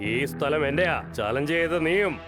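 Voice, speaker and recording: A man voicing a menacing, territorial bully thug in an animated cartoon. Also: fairly high pitch, moderate pace, close to an everyday voice. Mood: threatening. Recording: loud cartoon music behind.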